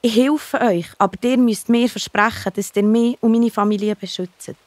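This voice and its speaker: An actress voices a brave townswoman sheltering spies in a children's audio drama. She is direct and watchful, with a Swiss German accent and a rather high voice. The recording is close and dry. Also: fairly even intonation, normal speed, a little mellow, no echo, close to an everyday voice. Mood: urgent, pleading.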